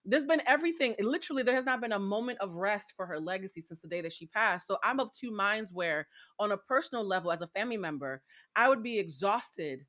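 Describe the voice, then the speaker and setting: A woman with a raspy voice talks on a talk show. She speaks emphatically and with strong opinions, at an ordinary middle pitch. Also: expressive, fast, a bit bright. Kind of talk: casual talk-show chat.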